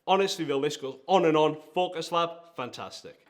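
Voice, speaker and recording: A man's voice: on the high side, fairly quick. A man with a Geordie accent hosting a countdown-style video, talking in an enthusiastic, emphatic way. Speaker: video host, design agency founder. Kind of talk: presenter-style vlog countdown.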